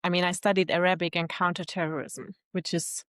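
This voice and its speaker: Woman, low and close. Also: level tone, brisk, dry recording. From Germany. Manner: laughs easily, thoughtful, reflective. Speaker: guest, LinkedIn expert. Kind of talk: casual interview chat.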